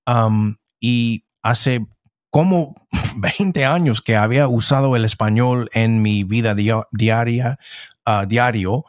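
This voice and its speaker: A middle-aged man speaks in a mid-range voice. He talks slowly and haltingly, with many restarts and fillers. The sound is dry and close. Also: medium pitch, no room sound. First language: English. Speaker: podcast guest. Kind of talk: casual interview chat.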